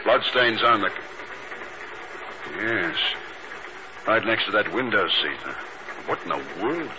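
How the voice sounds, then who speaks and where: Proper agent's partner, heavy static. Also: male voice, on the low side, lively intonation, slow pace. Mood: puzzled. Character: proper, puzzled male intelligence colleague. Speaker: supporting actor in a radio drama. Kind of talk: 1950s radio acting. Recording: muffled, very noisy old radio recording.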